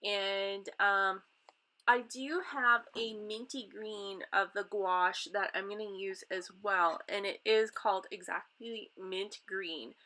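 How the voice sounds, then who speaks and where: Middle-aged woman, bright voice, nasal from a cold, rambling casual craft narration. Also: medium pitch, fairly even intonation, slow. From Canada. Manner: chatty, rambling, many ums. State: stuffy nose from a cold. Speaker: craft vlogger.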